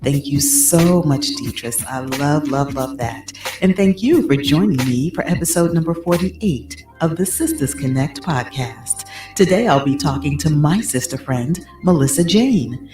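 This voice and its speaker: A woman's voice: low voice, lively intonation, unhurried. A woman hosting a podcast, introducing her guest and asking questions in a gushing, friendly way. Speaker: podcast host. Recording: echoey with music behind.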